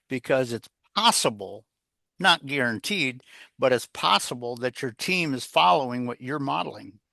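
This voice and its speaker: Male interview guest, mid-pitched, coach-style advice, meandering and repetitive, rhetorical questions, very little room sound. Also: creaky, bright, forceful tone, dry recording, clean studio sound. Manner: rambling, repeats phrases, poses rhetorical questions. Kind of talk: conversational advice-giving interview.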